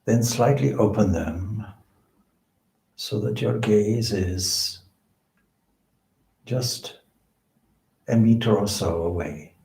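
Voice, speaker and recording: A man leading a guided meditation as a spiritual teacher, speaking in measured short phrases with pauses between them. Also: fairly low pitch, gravelly, warm tone. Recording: echoey room.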